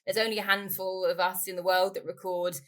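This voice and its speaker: A woman's voice: lowish voice, flat delivery, a little fast, fairly bright tone. A woman being interviewed as a company expert, explaining things informally in a conversational way and rephrasing as she goes. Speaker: interviewee, company growth director.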